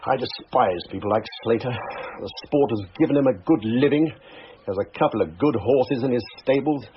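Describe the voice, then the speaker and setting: A man's voice: mid-range voice, normal speed, natural voice. An actor playing a disdainful upper-class English brigadier, patronising and clipped in his politeness, in a radio crime drama. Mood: worldly disdain. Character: haughty, disdainful upper-class brigadier policing horse racing. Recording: dull, faintly noisy radio recording.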